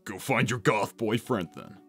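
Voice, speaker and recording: A man playing a gruff, weary and irritable young echidna guardian in a fan-made cartoon. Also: fairly low pitch, a little slow, faint music behind, close to an everyday voice. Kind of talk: fan-made animated series voice acting.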